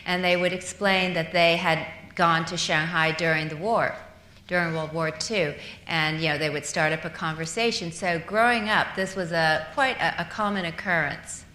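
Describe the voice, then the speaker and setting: A woman's voice: lowish voice, steady tone, normal speed, sharp tone. A woman, a film producer, recalling things thoughtfully on stage with frequent hesitations. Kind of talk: Q&A panel answer. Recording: echoey hall.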